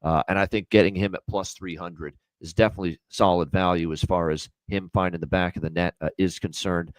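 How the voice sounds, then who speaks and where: A man's voice: sits low, fairly even intonation, brisk, clear, resonant tone, no echo. A man hosting a hockey betting show, moving from upbeat sponsor reads to casual picks with frequent fillers. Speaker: host. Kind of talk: sports betting show chat.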